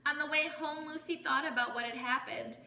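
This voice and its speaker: A woman in her thirties, a teacher reading a children's picture book aloud, expressive and pausing to explain things to children. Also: high voice. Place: small living room, indoors. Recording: roomy home recording with background noise.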